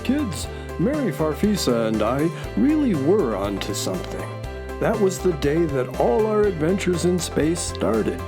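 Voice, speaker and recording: A woman's voice: on the low side, big pitch swings, measured pace. A woman playing a kindly, folksy space horse who tells stories in a children's radio drama. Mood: storytelling. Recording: music behind the voice.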